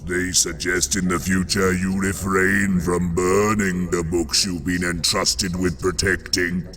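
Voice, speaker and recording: A formal, dryly insolent god of the watch, played by a man for a motion comic. His low voice stays flat and slow, deadpan and put-upon, with faint music underneath. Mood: dry, reproachful. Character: dry, put-upon male watchman god, quietly disdainful.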